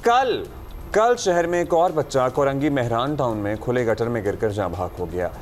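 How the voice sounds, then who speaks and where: Guy co-hosting morning tv, earnest, formal urdu for news bits, low room hum. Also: lively intonation, moderate pace. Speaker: morning show co-host. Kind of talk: casual morning show chat.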